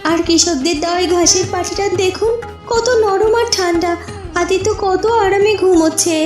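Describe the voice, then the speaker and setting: A woman playing a gentle, devoted young princess and mother in a cartoon fairy tale, speaking tenderly and respectfully. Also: extremely high pitch, moderate pace. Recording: echoey, music underneath.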